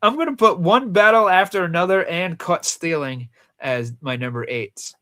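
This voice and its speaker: A man's voice: high-pitched, moderate pace, fairly bright tone. A man with a Rhode Island accent, chatting casually and teasingly as co-host of a movie countdown show. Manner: joking, teasing. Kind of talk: casual film chat.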